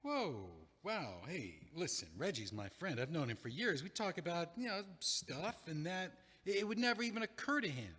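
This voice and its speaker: A man playing an earnest, easily flustered, loyal young friend in a naturalistic comedic audio play, speaking haltingly. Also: on the high side, very animated, pushed and bright, slightly rough, heavily acted. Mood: flustered, defensive. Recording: echoey hallway-like reverb.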